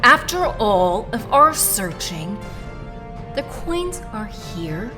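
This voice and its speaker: A woman's voice: mid-range voice, big pitch swings, extremely slow, music in the background. A woman playing a haughty, contemptuous female space villain in an audio drama, speaking theatrically. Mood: incredulous, scornful.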